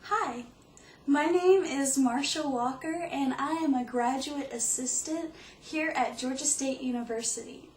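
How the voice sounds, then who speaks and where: A woman's voice: sits high, a little slow. A young woman in her twenties, a graduate assistant, introducing herself eagerly for an online course. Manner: eager and upbeat. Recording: echoey room, distant mic.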